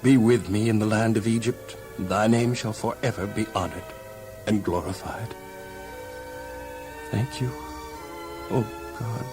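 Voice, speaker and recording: A man playing an earnest, devout young slave lad with halting, emotional delivery in an audio Bible drama. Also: on the low side, over music, natural voice. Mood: prayerful.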